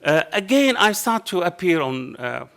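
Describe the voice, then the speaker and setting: A man's voice: sits high, very animated, moderate pace, creaky, fairly bright tone, clear, resonant tone. Man, middle-aged, heavy Arabic accent, panel talk, passionate storyteller, table mic. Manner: storytelling, acts out both sides of dialogue; passionate, non-native phrasing. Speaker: panel speaker. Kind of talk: anecdotal public talk. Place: dark lecture hall panel table. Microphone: table microphone.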